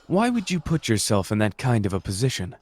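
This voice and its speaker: A man playing a suave renegade sorcerer in an anime audio roleplay, speaking in a measured, formal, gently persuasive and manipulative way. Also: fairly low pitch, huge pitch swings. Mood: smooth persuasion.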